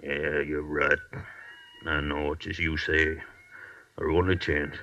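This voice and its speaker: Casting: weary, doubting fugitive, very low forceful voice, vintage radio drama with dull, distant sound. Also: male voice, little pitch movement, measured pace, full character voice. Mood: wary, resigned. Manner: mournful, complaining, doubtful. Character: gloomy, weary big convict stranded in the jungle. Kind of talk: 1940s radio acting. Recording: muffled, hissy old radio recording.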